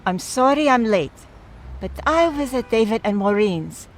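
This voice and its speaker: An earnest, slow-spoken mourner. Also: female voice, on the high side, animated, slight background noise. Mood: flustered, apologetic. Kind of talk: radio play dialogue.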